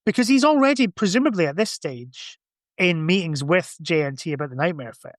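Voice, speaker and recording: A man's voice: fairly high pitch, animated, fairly quick, no echo, studio quality. A man in casual podcast conversation, thinking aloud in a rambling way. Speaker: podcast guest or co-host. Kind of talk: casual chat.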